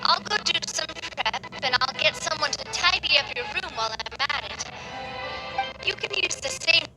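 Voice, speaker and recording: A woman's voice: high voice, lively intonation, moderate pace, low-quality recording. A woman playing a confident, playful young female leader in an anime game, with a breezy, assured manner. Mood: warm, assured. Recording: music behind the voice.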